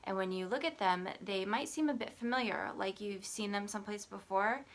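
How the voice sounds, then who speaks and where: A woman's voice: medium pitch, normal speed. Woman reading to children, echoey room. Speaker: children's read-aloud host and teacher. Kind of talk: storybook read-aloud with friendly intro. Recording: roomy echo with music behind.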